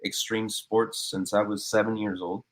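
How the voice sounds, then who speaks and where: A man's voice: on the low side, little pitch movement, moderate pace. A man in his thirties from Cuba, raised in Miami, talking earnestly as a guest on a podcast, with many fillers and restarts. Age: 30s. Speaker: guest, business owner. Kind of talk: casual podcast interview.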